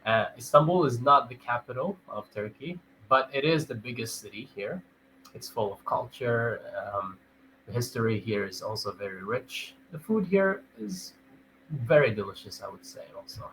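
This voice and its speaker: Bright-voiced young man chatting on a video call. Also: medium pitch, lively intonation, normal speed, slight background noise, poor audio. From born in Thailand, raised in Canada. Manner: friendly, hesitant with fillers, laughs softly. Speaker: English tutor. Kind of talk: casual video-call chat. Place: home in a big city.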